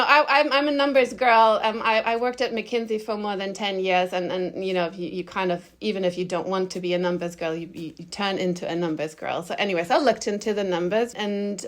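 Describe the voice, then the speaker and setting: Interview guest: woman, middle-aged, mid-pitched, slightly stuffed-up nose from a cold, frank and informal delivery. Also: fairly even intonation, normal speed, few pauses, fairly bright tone. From Germany. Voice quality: slightly nasal. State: has a cold. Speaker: interview guest, agency founder. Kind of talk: conversational interview answers.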